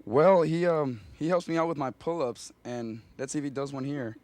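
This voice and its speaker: A twenty-one-year-old man answering interview questions in a quick, joking, offhand way. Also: fairly high pitch, darkish tone, no echo. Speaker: contestant.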